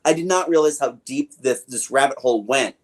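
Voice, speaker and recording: A man's voice: medium pitch, fairly quick. A middle-aged man hosting a podcast, chatting in an informal, joking way. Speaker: podcast host. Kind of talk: casual podcast chat.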